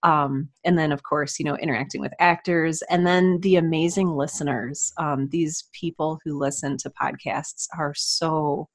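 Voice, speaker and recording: A woman's voice: low voice, fairly even intonation, moderate pace, few pauses, no echo. A woman speaking earnestly and appreciatively as a podcast guest in a conversational interview. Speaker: podcast guest, writer and audio drama producer.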